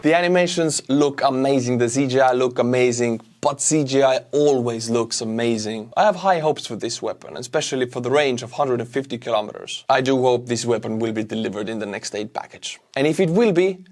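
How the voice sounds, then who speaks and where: A male online host with an Estonian accent and a medium-pitched voice. He talks informally, as in casual news commentary, addressing the listener conversationally. His delivery carries a wry edge. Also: a little fast, few pauses, darkish tone. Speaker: YouTube war-news host.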